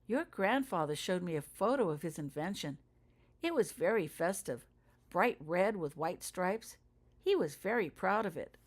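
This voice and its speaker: An earnest young schoolgirl witch from a storybook, played by a woman reader. She asks probing questions in a careful, hesitant way, and her pitch rises and swings a lot. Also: lively intonation, moderate pace.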